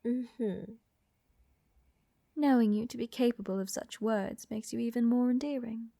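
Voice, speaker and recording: A woman playing a flirtatious, doting aristocratic vampire countess in an intimate ASMR audio roleplay, with a soft, breathy murmur and elegant, teasing, soothing phrasing. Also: fairly high pitch, steady tone, measured pace, no echo. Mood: tender, flirtatious.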